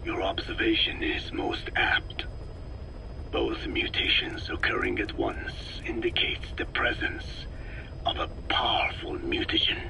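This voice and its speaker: An actor playing a grave, learned old man for a video game tape, speaking slowly and formally in a breathy rasp. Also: lowish voice, wildly swooping pitch, whispered, exaggerated voice. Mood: grave, reflective. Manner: slow, measured, formal, solemn. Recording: noisy cassette tape recording.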